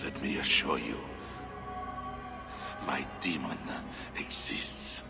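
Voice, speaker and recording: An actor playing a scheming, sneering Frankish king who talks slowly and deliberately. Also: very deep voice, gravelly, loud music behind the voice, exaggerated voice. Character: scheming portly Frankish king, menacing, mocking. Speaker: king Charles. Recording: game score under a long-reverb hall.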